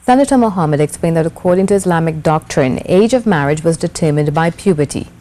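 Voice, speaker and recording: Woman, Trinidadian accent, low pitch, warm mellow tone, news anchor, scripted read. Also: lively intonation, fast.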